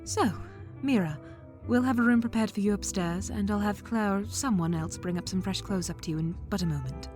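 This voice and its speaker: A woman's voice: medium pitch, a little mellow, soft background music, close to an everyday voice. A woman voicing a formal ageless aristocrat. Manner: formal, measured, courtly. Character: ageless refined woman, aristocratic scholar host. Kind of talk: fan audio drama.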